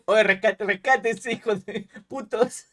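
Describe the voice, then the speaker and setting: An excitable man with a Chilean accent comments casually as a reaction streamer. His pitch moves around in lively swings, and his talk is loose and full of slang. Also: drawn-out words. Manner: slangy, excitable, laughs readily.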